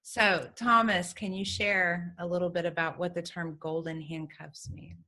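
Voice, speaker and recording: A woman's voice: fairly low pitch, little pitch movement, measured pace, pushed and bright. A woman hosting a conversational interview, putting questions to guests. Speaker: host.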